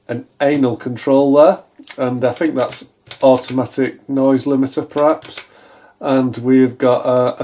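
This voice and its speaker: A middle-aged man with a British accent talks through a hands-on repair in a casual, unscripted way. His voice is relaxed and dark-toned, sitting at an average male pitch. He muses to himself with dry, self-deprecating humour. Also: slight background noise. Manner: musing aloud, self-deprecating, dryly humorous. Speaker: electronics repair hobbyist, presenter. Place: small indoor home electronics workshop, at a bench.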